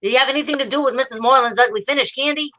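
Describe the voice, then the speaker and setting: A woman playing a gruff but fond, exasperated police detective in an old-time radio drama, hardboiled and teasing. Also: high voice, rapid-fire, background noise, lightly acted. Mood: wry questioning.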